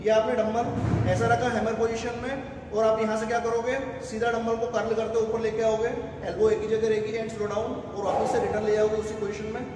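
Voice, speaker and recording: A man's voice: high-pitched, completely flat delivery, fairly quick. A man in his thirties, a fitness trainer, talking viewers through bicep exercises step by step while he demonstrates them in a large indoor gym. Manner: instructive, step-by-step, mixes English gym terms. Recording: echoey gym hall, noisy, mic at a distance.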